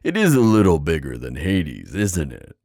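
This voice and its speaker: A man's voice: very deep voice, wildly swooping pitch, darkish tone, no echo, clean studio sound, full character voice. A man playing a flirtatious, confident giant sea god in an ASMR roleplay, teasing and theatrical in his delivery. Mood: playful, teasing. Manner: teasing, theatrical, drawn-out phrasing.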